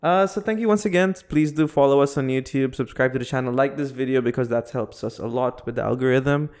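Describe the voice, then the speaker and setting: A man co-hosting a podcast in casual, joking chat, often halting as he searches for his words. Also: medium pitch, a little mellow. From half Filipino. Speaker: podcast co-host, comedian.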